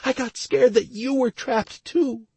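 A man's voice: extremely high pitch, steady tone, unhurried, gravelly, warm tone, breathy edge, pitched above the performer's own voice, full character voice. A man voicing an anxious, tearful wife in an audiobook, pleading urgently and emotionally. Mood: tearful, frantic. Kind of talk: audiobook character dialogue.

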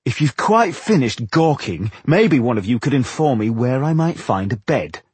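A man's voice: medium pitch, very animated, moderate pace, darkish tone, slightly rough, no echo. A man voicing an earnest, firm and polite dwarf traveller in an audiobook, speaking formally and courteously. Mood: curt, bristling. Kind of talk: audiobook character dialogue.

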